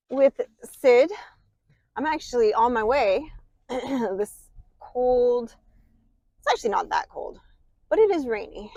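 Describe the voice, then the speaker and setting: A woman's voice: on the high side, warm tone, dry recording. An American woman hosting a casual vlog while driving, sick and congested with a light cough, chatty and rambling with self-deprecating humor. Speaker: channel host. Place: in a car.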